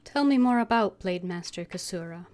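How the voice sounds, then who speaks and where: A woman playing a composed, willing adventurer hero in a fantasy video game, replying briefly and in a measured way. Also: fairly low pitch, animated, darkish tone, natural voice.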